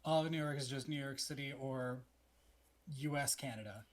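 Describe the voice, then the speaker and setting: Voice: mid-range voice, normal speed. An American podcast co-host from Texas, chatting casually. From Texas, United States. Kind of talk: casual chat.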